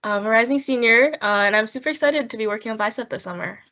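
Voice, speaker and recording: A young woman from New Jersey with a bright, slightly high voice introduces herself eagerly. Her video call audio sounds muffled. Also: quick pace. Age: 20s. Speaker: student intern.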